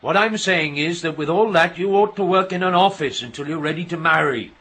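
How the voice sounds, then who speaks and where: An actor playing a proud, touchy middle-aged signalman father in a radio drama, gruff and lecturing. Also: a little high, brisk, pushed and bright. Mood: fond, firm. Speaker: railway signalman in a radio play. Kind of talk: naturalistic radio drama acting.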